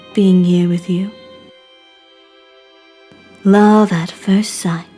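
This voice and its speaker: Woman acting a slow, obsessive lovesick madman. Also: medium pitch, mellow. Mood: yearning, seductive. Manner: theatrical, slow, crooning with breathy whispers. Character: obsessive lovesick madman, tender then menacing suitor. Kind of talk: game character voice acting reel.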